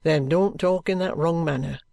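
A man reading aloud as a middle-aged police detective who asks brisk, probing questions in a firm voice. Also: a little high, vocal fry, mellow, no room sound. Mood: stern.

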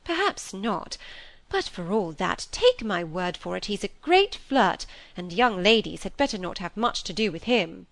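A woman's voice: mid-range voice, sing-song, a little slow, vocal fry, fairly bright tone, no echo, full character voice. A woman voicing a stern, bossy older spinster in a storybook, speaking in an emphatic, sententious way. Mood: warning. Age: middle-aged or older.

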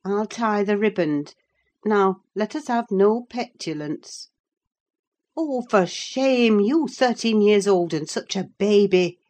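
A woman's voice: mid-range voice, lively intonation, slow pace, darkish tone, voice pushed up. A woman playing a stern middle-aged housekeeper who scolds a runaway girl. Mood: impatient. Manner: scolding, firm.

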